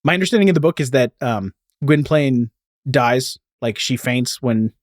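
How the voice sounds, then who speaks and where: A man's voice: medium pitch, expressive, normal speed, dry recording, clean studio sound. A man hosting a podcast, telling a story in a casual, informal way peppered with "like" and "you know.". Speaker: podcast host.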